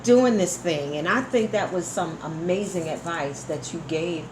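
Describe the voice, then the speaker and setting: A woman's voice: lowish voice, measured pace. A woman hosting a live radio interview chat in an effusive, encouraging way. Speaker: radio show host. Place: indoor room at a live online broadcast. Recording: roomy live sound, faint noise.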